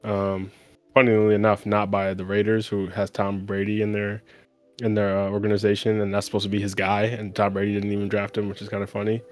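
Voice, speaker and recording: A man's voice: on the low side, completely flat delivery, fairly quick, faint music behind. A man hosting a podcast, talking through sports news in a casual, conversational and explanatory way with emphatic repetition. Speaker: podcast host.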